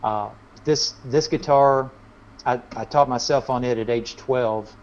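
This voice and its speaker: A man's voice: medium pitch, a little slow, darkish tone. A man chatting casually as a musician guest in an interview, telling anecdotes in a rambling way. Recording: dull, lo-fi audio.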